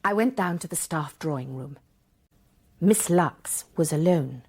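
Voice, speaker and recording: An actress playing an observant, self-deprecating woman who narrates a radio drama in a reflective, expressive way. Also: sits low, unhurried, slightly rough, full character voice. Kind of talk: first-person radio drama narration and dialogue.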